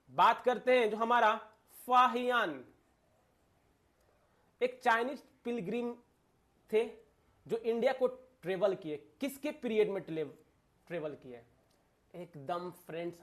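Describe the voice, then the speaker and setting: High-pitched man teaching emphatically. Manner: emphatic, repeats key phrases, often addresses listeners directly. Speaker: exam coaching teacher and video host. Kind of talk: informal lecture addressed to students, Hindi mixed with English terms.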